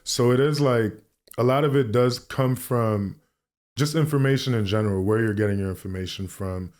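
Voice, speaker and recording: A young man from New York City, a guest artist talking in a relaxed interview with lots of fillers and restarts. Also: mid-range voice, moderate pace. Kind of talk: casual interview conversation.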